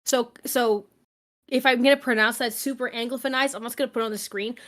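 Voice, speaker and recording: A woman's voice: on the high side, steady tone, quick pace, a bit bright. A young woman from Quebec, a native English speaker with a Quebec-tinged accent, co-hosting a casual podcast chat and explaining at length with many fillers and asides. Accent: Quebec French-tinged English. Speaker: podcast co-host.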